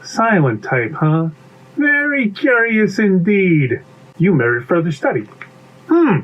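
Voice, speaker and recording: Man acting know-it-all bee, lecturing, self-important, animated intonation, children's storybook, slightly muffled recording. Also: sits high, slow pace, pitched above the performer's own voice, full character voice. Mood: snooty, curious. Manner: pompous, lecturing. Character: know-it-all bee, brash, chatty, pompous.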